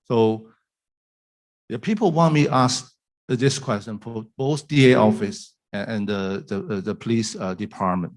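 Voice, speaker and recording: Man, somewhat low voice, halting, lots of fillers, community questioner. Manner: halting, searching for words, many fillers. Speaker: community member asking questions.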